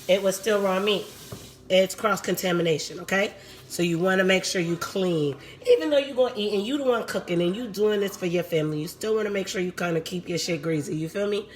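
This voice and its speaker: Raspy woman chatting through a cooking stream. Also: medium pitch, slight background noise. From Puerto Rico. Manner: chatty, talks to live viewers while cooking. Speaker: home cook hosting a cooking stream. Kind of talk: casual live cooking demo. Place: home kitchen.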